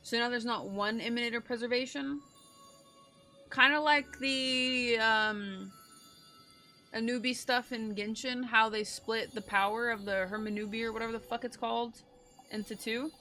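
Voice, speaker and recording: A woman streamer giving casual, slangy, excitable commentary on a game playthrough, swearing freely. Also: on the high side, normal speed, soft background music.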